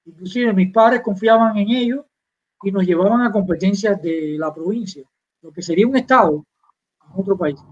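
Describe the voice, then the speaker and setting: A man's voice: high-pitched, moderate pace, darkish tone, dull audio. An older man from Cuba with a Cuban Spanish accent, telling about his beginnings as a guest on a live interview, proud and rambling with restarts. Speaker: guest chess master.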